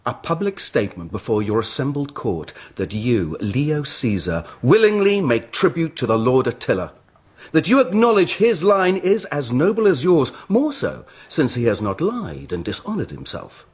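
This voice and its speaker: Proud, husky-voiced barbarian envoy. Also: male voice, medium pitch, very animated, moderate pace. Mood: stern, demanding. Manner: blunt, demanding. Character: proud, indignant male envoy of Attila the Hun. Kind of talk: radio drama acting.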